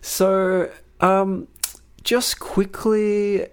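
A man hosting a podcast who asks long, halting questions with frequent "um"s. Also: high-pitched, level tone, smooth. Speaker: podcast host. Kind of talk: podcast interview.

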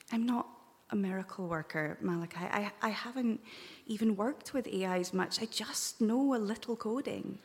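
A woman's voice: mid-range voice, a little slow, pauses often, natural voice. A woman playing a kind but doubtful astrophysicist crewmate in a live audio drama, hesitant and apologetic. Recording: echoey hall, live stage recording.

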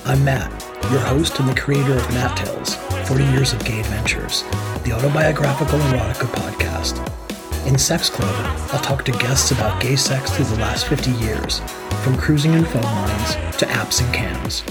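A middle-aged man with a husky, gravelly voice, narrating scripted first-person stories as a podcast host. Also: medium pitch, normal speed. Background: faint music.

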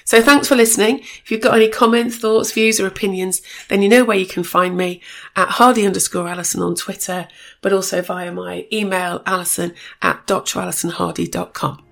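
A woman hosting a solo podcast with a slightly croaky voice, giving a chatty, informative update and correcting herself as she goes. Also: medium pitch, steady tone, fairly quick, a bit bright. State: a bit croaky voice. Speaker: podcast host.

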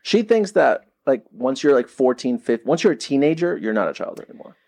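Husky, lively-voiced man in his thirties. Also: mid-range voice, fairly quick. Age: 30s. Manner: curious, keeps asking questions. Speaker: podcast co-host. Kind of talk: casual chat.

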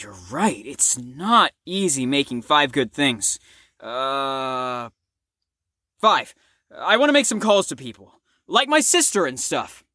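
A man's voice: fairly high pitch, sharp tone, no room sound, clean studio sound, full character voice. A man playing a cocky, crude fifteen-year-old boy in an audio drama, with a smug, slangy drawl.

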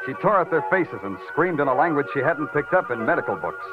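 Hard-boiled radio detective, tinny old recording. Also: male voice, fairly high pitch, big pitch swings, quick pace. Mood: brooding, wry. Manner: terse, wry, noir narration. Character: hard-boiled, sardonic male police lieutenant narrating his beat. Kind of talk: 1940s radio noir acting. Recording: old band-limited radio recording with music.

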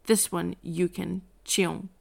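A woman reading the lines of a meddling middle-aged mother who nags and scolds in an excitable way. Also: on the low side, slow pace, creaky, exaggerated voice. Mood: nagging. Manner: excitable, nagging.

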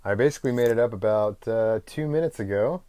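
A man hosting his own podcast, talking off the cuff in a loose, rambling way, often pausing on "um" and clearing his throat. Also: lowish voice, mellow, no room sound. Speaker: podcast host. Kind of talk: unscripted solo podcast monologue.

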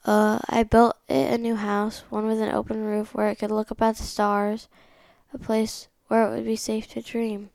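A woman's voice: fairly high pitch, completely flat delivery, normal speed, gravelly, darkish tone, no echo. A woman reading a picture book aloud as the host of a read-along.

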